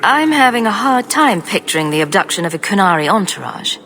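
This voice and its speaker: An actress playing a direct, composed, wry adventurer heroine in a video game. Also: female voice, medium pitch, big pitch swings, fairly quick, fairly bright tone, big-room sound, soft background music. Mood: probing.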